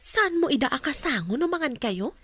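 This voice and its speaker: A woman plays a concerned friend in an audio drama, offering advice. Her voice is fairly high and sits dry and close. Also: sing-song, moderate pace, bright, forceful tone, no room sound, full character voice. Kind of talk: Ilocano radio drama acting.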